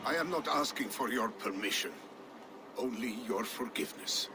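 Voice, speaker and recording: An older actor playing an old, loyal Viking warrior in a video game, grave and resigned. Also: male voice, medium pitch, animated, normal speed, natural voice.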